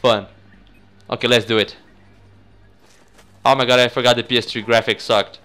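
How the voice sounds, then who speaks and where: A young man from Brazil with a Brazilian accent, a native Portuguese speaker, giving excitable, sweary, casual commentary as host of a gaming video. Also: mid-range voice, normal speed, faint music behind.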